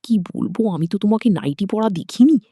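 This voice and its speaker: A woman's voice: mid-range voice, expressive, brisk, dark tone, slightly rough, no room sound, studio quality. Woman voicing a doting, coaxing older father-in-law. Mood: coaxing, admiring. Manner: coaxing, admiring, indulgent.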